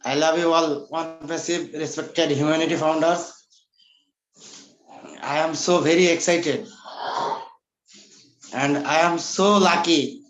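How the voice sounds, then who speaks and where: A man's voice: a little high, fairly even intonation, slow pace, long pauses, fairly bright tone. A man from Bangladesh with a Bangladeshi accent, effusively greeting an online meeting from an echoey room. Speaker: guest speaker giving a testimonial. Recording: echoey room on video call.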